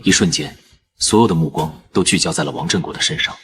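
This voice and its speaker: A man narrating in the first person as a calm surgeon, in measured scripted narration. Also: extremely low pitch. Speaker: narrator and lead character, a surgeon.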